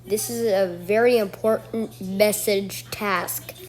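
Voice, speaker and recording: A woman's voice: mid-range voice, fairly even intonation, takes it slowly, a little mellow. A woman delivering a homemade mock emergency alert as an announcer, repeating her warnings.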